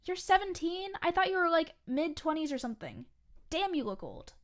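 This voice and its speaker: A woman's voice: extremely high pitch, very animated, normal speed. A young woman playing a sarcastic, grumbling eighteen-year-old waitress in a fiction podcast, snippy and sardonic. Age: young adult. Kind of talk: audio fiction podcast acting, conversational.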